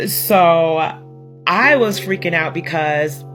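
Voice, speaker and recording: A woman's voice: lowish voice, slow, fairly bright tone. A woman telling a story in a chatty, amused way and laughing between her remarks. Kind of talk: casual storytelling. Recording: guitar music under the voice. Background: music playing.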